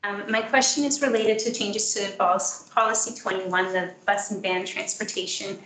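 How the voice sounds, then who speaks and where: A soft-voiced woman, a parent, reading a prepared statement at a school board meeting in an earnest, measured way. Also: mid-range voice, steady tone. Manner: earnest, measured, with frequent ums. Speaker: parent speaking at public meeting. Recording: echoey meeting room with faint noise.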